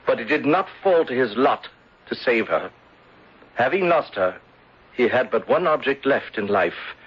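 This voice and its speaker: Vengeful accuser, radio drama: husky, bitter, deliberate and theatrical, muffled old recording. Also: male voice, medium pitch. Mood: grieving, vengeful. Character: vengeful bereaved man unmasking his beloved's murderer, accusing and bitter. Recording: dull lo-fi vintage radio recording.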